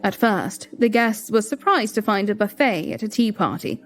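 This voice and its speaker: Audio drama lead: composed young queen played by a woman, refined, measured, dutiful narration with music beneath. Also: medium pitch, lively intonation, natural voice. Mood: composed, descriptive.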